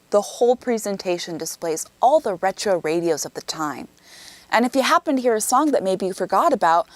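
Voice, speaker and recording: A woman's voice: mid-range voice, no echo. A woman presenting a scripted promotional narration. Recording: music bed under voice.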